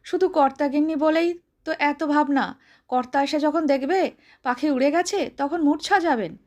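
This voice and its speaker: A woman's voice: high-pitched, normal speed, a little mellow, lightly acted. A woman storyteller voicing a playful, modest housewife who protests lightly and jokingly. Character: playful, modest young housewife, teasing and reluctant.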